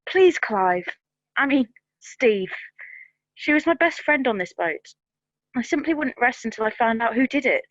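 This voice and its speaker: Woman doing a flirty clueless waitress, high voice, radio comedy, dry muffled sound. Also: expressive, a little slow, pauses often, no echo. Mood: eager, coaxing. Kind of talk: comic radio acting.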